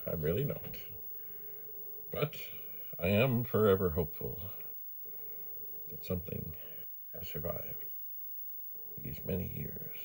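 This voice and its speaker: A man with a low, gravelly voice talks through a casual hands-on demo. He thinks aloud at a very slow pace, in short broken phrases, with faint noise behind him. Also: warm tone. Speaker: host of a retro computer channel.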